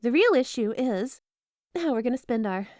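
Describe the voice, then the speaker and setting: Woman acting a high-pitched, flirty, clingy yandere wife. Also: lively intonation, normal speed, darkish tone, no room sound, studio quality, lightly acted. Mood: mock indignant. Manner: playful, coaxing, theatrically dramatic. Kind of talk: scripted ASMR roleplay spoken to the listener.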